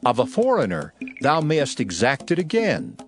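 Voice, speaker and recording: A man giving a solemn, declamatory dramatized reading of scripture in measured phrases. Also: medium pitch, huge pitch swings. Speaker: Bible narrator. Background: background music.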